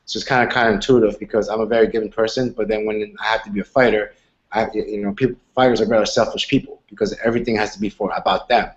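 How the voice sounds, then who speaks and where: A man's voice: on the low side, fairly even intonation, fast, highs cut off. A man in his twenties from Argentina, a fighter answering interview questions in an earnest, rambling way. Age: 20s. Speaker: MMA fighter.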